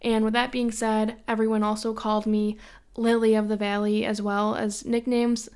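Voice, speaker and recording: A woman's voice: on the high side, little pitch movement, moderate pace, darkish tone, smooth. A young woman from Western New York hosting her own podcast in a casual, rambling monologue full of opinions and repeated filler phrases. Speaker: podcast host.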